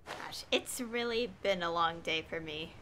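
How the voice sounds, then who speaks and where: Chatty young woman streamer with bright voice. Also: fairly low pitch, unhurried, a little hiss or hum. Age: young adult. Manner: rambling, chatty. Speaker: game streamer. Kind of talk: casual chat while gaming. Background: faint game music.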